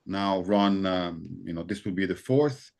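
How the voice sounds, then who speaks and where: Deep-voiced man presenting on a video call. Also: a little slow. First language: Arabic and Italian. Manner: relaxed, hesitant, with fillers. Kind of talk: casual online presentation.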